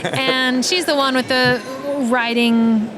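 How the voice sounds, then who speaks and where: Higher-voiced woman, noisy background. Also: fairly even intonation, takes it slowly. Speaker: podcast guest, audio drama writer. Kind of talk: casual interview chat. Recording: clear background noise.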